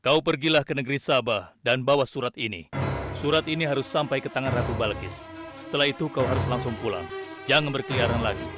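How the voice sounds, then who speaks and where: A man voicing a stern, commanding prophet king in a children's cartoon, authoritative and deliberate. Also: medium pitch, natural voice. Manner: authoritative, deliberate, given to pondering hums. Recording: loud music behind the voice.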